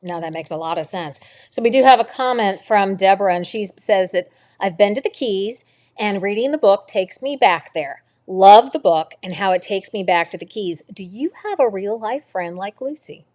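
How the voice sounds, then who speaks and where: A woman's voice: medium pitch, lively intonation, moderate pace, a little mellow. A woman hosting a live book club interview, asking questions and encouraging her guest with frequent mm-hmms. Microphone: video call.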